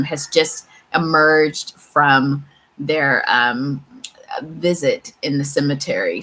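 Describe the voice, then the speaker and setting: A woman tarot reader speaking reflectively and haltingly to her viewers, repeating phrases for emphasis as she gives intuitive spiritual guidance. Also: low-pitched, a bit bright, slightly rough. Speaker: tarot reader and channel host.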